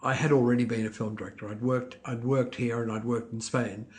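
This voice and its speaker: An older man, a winemaker guest speaking in a hesitant, halting way and often restarting his sentences. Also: medium pitch, level tone. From Italy, raised in Australia. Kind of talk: conversational interview.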